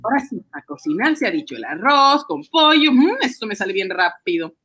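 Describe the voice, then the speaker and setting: A woman's voice: a little high, big pitch swings, brisk, a bit bright, husky, heavily acted. A woman with a Peruvian accent playing a fussy, affectionate housewife and mother in an amateur radio drama, coaxing and exclaiming. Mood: bustling. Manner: exclaiming, repetitive, coaxing, sighing. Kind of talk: amateur Spanish-language radio drama acting.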